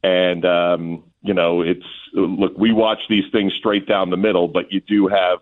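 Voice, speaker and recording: A man speaking as a guest play-by-play announcer in a radio interview, giving conversational sports analysis in a measured, discursive way. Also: low voice, little pitch movement. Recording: thin phone-like line.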